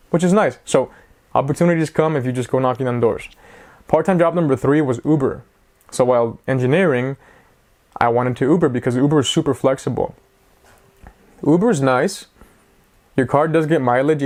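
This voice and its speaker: A man's voice: mid-range voice, darkish tone. A man in his twenties giving a casual, rambling talk to the camera, chatty and self-mocking. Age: 20s. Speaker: vlogger.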